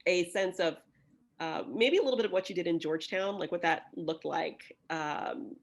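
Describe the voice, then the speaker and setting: A woman career advisor hosting a video chat, speaking in a halting way with many fillers and restarts. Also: fairly low pitch. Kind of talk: moderating a chat.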